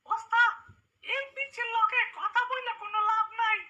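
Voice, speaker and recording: A man voicing a shrill, pushy cartoon sidekick who fawns over a conman holy man. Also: steady tone, normal speed, highs cut off, noisy background. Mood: fawning.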